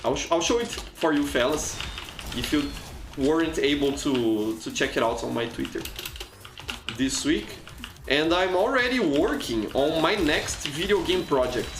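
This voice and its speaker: Brazilian man, Portuguese native, game streamer; chatty, stumbles and repeats words; a bit high-pitched; roomy sound, faint music. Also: lively intonation, a little slow, noisy background. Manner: chatty, frequent restarts and repeated words, reads chat aloud. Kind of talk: casual live gameplay commentary.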